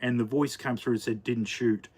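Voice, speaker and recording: A man's voice: medium pitch, fairly even intonation, normal speed, darkish tone, breathy edge. Chatty Australian man rambling on a livestream. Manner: rambling, chatty, many fillers and restarts. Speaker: livestream host. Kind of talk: casual live chat while cooking. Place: home kitchen.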